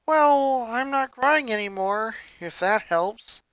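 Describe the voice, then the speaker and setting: Man doing a young boy, very high pitch, shy, sensitive, hesitant, storybook reading, dry sound. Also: animated, a bit bright, smooth, no echo, exaggerated voice, rough recording. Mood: uncertain. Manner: halting, uncertain.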